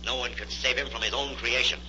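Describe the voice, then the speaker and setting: An unseen crime fighter in a vintage radio thriller. He is stern, authoritative and declamatory, with a very sharp, forceful tone and faint noise on the old recording. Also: mid-range voice, steady tone, quick pace, rough recording. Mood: firm, grave. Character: stern, invisible male crime-fighting hero. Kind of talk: 1940s radio mystery acting.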